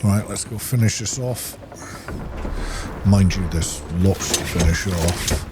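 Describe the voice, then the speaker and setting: Low-voiced man commentating over noisy gameplay. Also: slow pace. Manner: thinking aloud in short remarks. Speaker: video game streamer. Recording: clearly noisy, game sound behind voice. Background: video game ambience.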